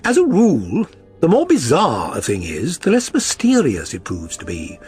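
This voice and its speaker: A man voicing a brisk, self-assured gentleman detective in an audiobook, precise, probing and authoritative. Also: a little high, huge pitch swings, faint music behind. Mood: assured, decisive. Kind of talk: audiobook character reading.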